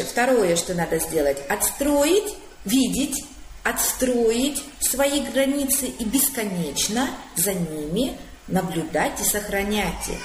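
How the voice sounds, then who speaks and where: A woman coach hosting a live stream, explaining psychology ideas in numbered points and thinking aloud with fillers. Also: mid-range voice. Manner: thinking aloud with fillers and restarts, emphatic lists. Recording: roomy echo with faint room noise.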